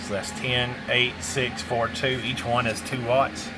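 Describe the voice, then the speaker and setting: A man's voice: medium pitch, little pitch movement, a little slow, very noisy. A man giving a casual, rambling hands-on product demo, muttering to himself as he goes. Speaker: radio seller demonstrating a CB radio.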